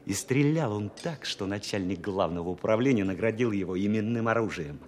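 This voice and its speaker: A man performing a thoughtful wartime officer as narrator of a radio play. His voice is deep, and he tells the story in a measured, first-person manner. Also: expressive, a little mellow, close to an everyday voice. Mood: reflective, admiring.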